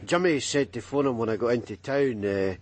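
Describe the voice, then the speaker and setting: An actor playing a young police detective in a radio drama, casual and a little hesitant in delivery. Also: male voice, medium pitch, animated, a little fast, background noise, close to an everyday voice. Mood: tentative. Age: young adult. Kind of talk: naturalistic radio drama acting.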